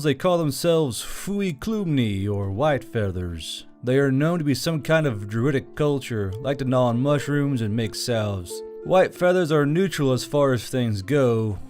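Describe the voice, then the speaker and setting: A man's voice: mid-range voice, darkish tone, lightly acted. A man playing a shifty, wryly cautious male intelligence officer who explains with some hedging in an audio story.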